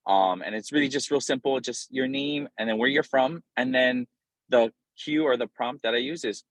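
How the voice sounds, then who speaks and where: A man from Hawaii speaking as a guest on a video call from an airport, loose and informal with many pauses. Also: on the low side, no room sound, rough recording. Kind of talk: casual talk on a video call. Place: airport, in transit.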